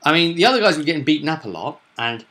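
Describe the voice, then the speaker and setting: A man in a casual, animated chat as host and game master, recapping a tabletop roleplaying session and often repeating himself. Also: a little high, very fast.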